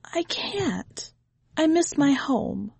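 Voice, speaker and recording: A woman's voice: high voice, animated, drawn-out words, vocal fry, a little mellow, no echo, pitched above the performer's own voice, heavily acted. A woman voicing an earnest, affectionate five-year-old boy with simple, pleading speech in a storybook reading. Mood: homesick.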